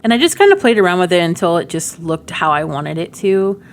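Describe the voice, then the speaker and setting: A woman's voice: lowish voice, sing-song, fairly quick, slight background noise. A middle-aged woman giving casual, rambling game demo commentary with cutesy slang. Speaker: gaming video creator.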